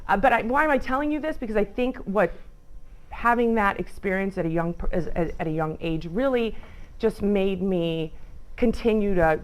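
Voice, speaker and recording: A middle-aged woman, a realtor from northern Illinois, speaks to a high school club. Her voice sits at an ordinary middle pitch and swings up and down often. Her talk is chatty, and she breaks off for quick asides. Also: lively intonation. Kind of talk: informal talk to students. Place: school club meeting room.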